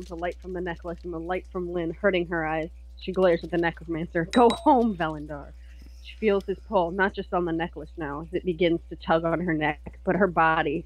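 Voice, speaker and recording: A woman's voice: lowish voice, lo-fi sound. A woman chatting casually and narrating her part in a fantasy roleplay. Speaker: roleplay co-player. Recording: faint background noise.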